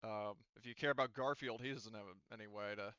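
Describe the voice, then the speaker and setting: A man acts as game master for a tabletop game, improvising narration in a casual, halting way as he thinks aloud. His voice is clean and resonant, at a middling pitch. The sound is close and dry but a little dull. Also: fairly even intonation, bright, forceful tone, clean tone, no echo.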